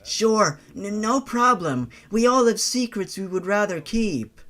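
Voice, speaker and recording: A man's voice: sits high, animated, measured pace, bright, forceful tone, pitched above the performer's own voice, full character voice. A man voicing a twitchy, easygoing young male killer who talks casually with a stammer in an audio story. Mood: curious, casual.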